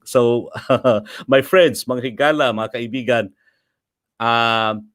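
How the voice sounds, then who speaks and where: A man's voice: mid-range voice, no room sound, clean studio sound. A middle-aged man with a Filipino accent hosting a livestream, chatting casually and laughing often as he switches between English and Filipino. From Philippines. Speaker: host.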